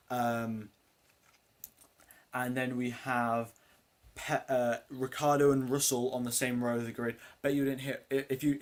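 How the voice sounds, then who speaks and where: A teenage boy with a deep voice for his age, hosting a casual live race watchalong, rambling with lots of ums and uhs as he answers chat questions. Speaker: live stream host.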